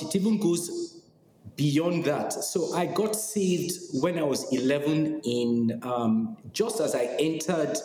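A middle-aged man with a Nigerian accent, a podcast guest who explains things in a measured way with frequent pauses. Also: fairly high pitch, dark tone. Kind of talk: podcast interview. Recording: echoey room with long reverb and faint noise.

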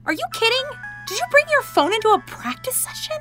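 A young woman playing a bossy, excitable teenage amateur scientist in an audio drama, sarcastic and mock-formal. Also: very high-pitched, brisk, soft background music, full character voice. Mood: exasperated. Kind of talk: naturalistic audio drama acting.

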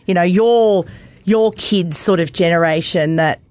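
A woman's voice: lowish voice, unhurried, a little mellow, no room sound. A middle-aged Australian woman co-hosting a casual, conversational interview. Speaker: co-host interviewer.

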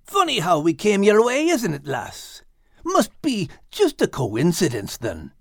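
A man's voice: sits high, wildly swooping pitch, measured pace, no room sound, clean studio sound, pitched above the performer's own voice, full character voice. A man voicing a protective, teasing male dog with a Scottish accent and a hearty, blunt manner in an audiobook. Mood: sarcastic. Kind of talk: animated audiobook character reading.

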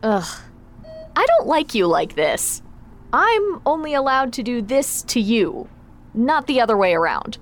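A woman's voice: a little high, big pitch swings, unhurried, a little hiss or hum. An actress playing a confident, supportive young woman in an audio drama, talking in a casual, frank, dry way. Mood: wry, slightly embarrassed. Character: confident, wry, supportive young woman training her friend. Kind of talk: naturalistic audio drama acting.

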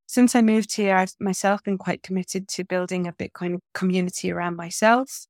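A woman's voice: on the low side, steady tone, moderate pace, no room sound, clean studio sound. A middle-aged woman from England, a guest in a podcast interview, talking in a chatty, thoughtful way with many fillers and restarts.

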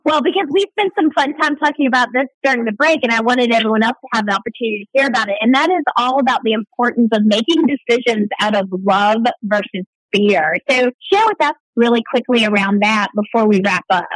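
A woman's voice: a little high, fairly quick, few pauses, dry recording, low-quality recording. A woman co-hosting a talk radio show, upbeat as she asks questions and reads out promotions.

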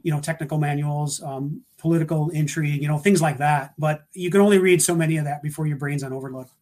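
Guy chatting casual about work, kinda higher voice, thinks out loud. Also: steady tone, fast. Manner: thoughtful, hesitant with restarts. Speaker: guest, security practitioner. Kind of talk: casual panel talk.

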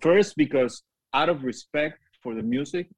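A middle-aged man, a musician whose first language is not English, telling stories in a casual interview, lively and quick to act out what people said. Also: a little high, dry recording. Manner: animated storyteller, acts out quoted voices, laughs often. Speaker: guest musician, keyboard player.

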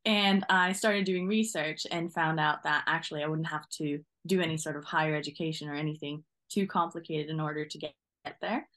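A woman's voice: sits low, fairly even intonation, fairly quick, fairly bright tone. A young woman from Norway, a newly qualified driving instructor, talking casually in an interview and laughing often.

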